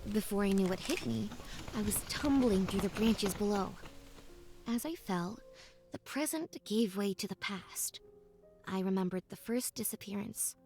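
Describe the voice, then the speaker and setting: A woman's voice: mid-range voice, a little slow, darkish tone, lightly acted. A woman narrating a scripted first-person story as a young woman recalling her journey away from home. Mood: reflective. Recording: music under the voice. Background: game music.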